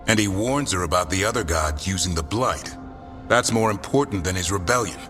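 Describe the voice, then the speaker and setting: A man's voice: low voice, brisk, fairly bright tone, faint music behind. A man playing a blunt, practical warrior companion in a fantasy game, speaking firmly. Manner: blunt, firm. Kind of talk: naturalistic game dialogue.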